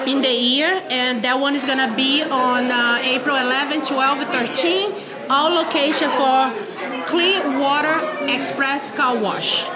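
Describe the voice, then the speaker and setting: High-voiced Brazilian woman. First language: Portuguese. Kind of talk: conversational interview, explaining programs. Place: video call.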